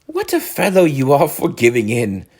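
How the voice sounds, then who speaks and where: A man voicing a cheery, brisk, encouraging rat in a storybook, with a hearty, chummy manner. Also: medium pitch, wildly swooping pitch, darkish tone, slightly rough, voice pushed up, full character voice. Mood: calm encouragement. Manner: hearty, upbeat, chummy British-storybook phrasing.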